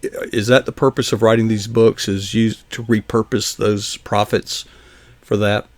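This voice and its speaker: A man's voice: on the low side, little pitch movement, measured pace, dry recording. An older man hosting a live online interview, folksy and encouraging, asking rambling questions. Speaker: show host.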